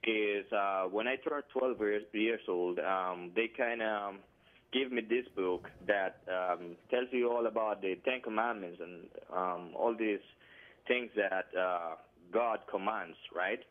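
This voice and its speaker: Man, Colombian Spanish accent, low voice, tinny phone line, hesitant, lots of fillers. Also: slow pace. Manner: hesitant, many fillers and restarts. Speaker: caller. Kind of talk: phone call-in conversation.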